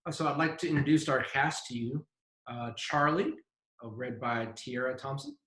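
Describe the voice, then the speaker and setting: Male festival host, bright forceful voice, medium pitch, roomy web-call sound, casual introductions. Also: expressive, moderate pace. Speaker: festival director introducing a cast.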